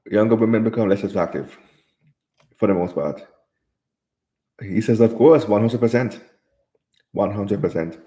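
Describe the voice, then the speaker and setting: A man's voice: fairly low pitch, moderate pace, pauses often, warm tone, echoey room. A man from Jamaica hosting a live stream, reading viewer comments aloud and answering them in casual chat.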